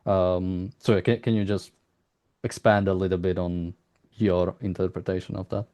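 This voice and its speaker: Male interviewer, low register, conversational and halting, circles around questions with repeated words. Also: flat delivery, mellow. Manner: halting, rambling, repeats words while searching for phrasing.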